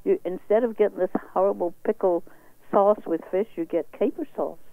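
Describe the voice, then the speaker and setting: A woman's voice: mid-range voice, normal speed, no echo. A woman from England with an English accent, chatting casually as a caller to a radio show. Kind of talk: casual phone chat. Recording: tinny phone line.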